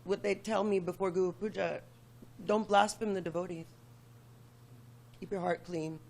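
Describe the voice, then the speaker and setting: An audience member sharing an earnest, halting reflection at a spiritual gathering. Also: mid-range voice, steady tone, creaky, reverberant, distant mic.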